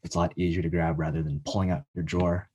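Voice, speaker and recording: A nineteen-year-old man with a low, husky voice, chatting as a podcast guest. He speaks earnestly in loose, halting phrases, full of fillers. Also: flat delivery, warm tone, dull audio. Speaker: podcast guest, college student. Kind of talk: casual interview chat.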